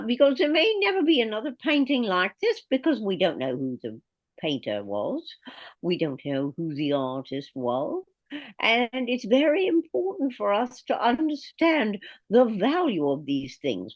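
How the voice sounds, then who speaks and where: A woman's voice: a little high, dry recording. A woman playing an earnest art commentator who keeps insisting on how important a painting is. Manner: insistent, repetitive, emphatic. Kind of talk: improvised comedy scene.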